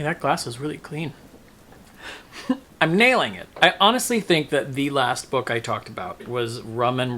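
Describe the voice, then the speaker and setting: A man's voice: on the high side, fairly bright tone, slight background noise. A man talking casually and emphatically in a book review vlog, often musing aloud and second-guessing himself.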